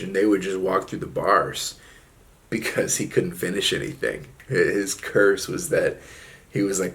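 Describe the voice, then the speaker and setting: A young man talking casually to his viewers in a laid-back reflective monologue, often dropping "man" and "like". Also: low voice. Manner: laid-back, drawling, frequent fillers like "man" and "like". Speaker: solo video host.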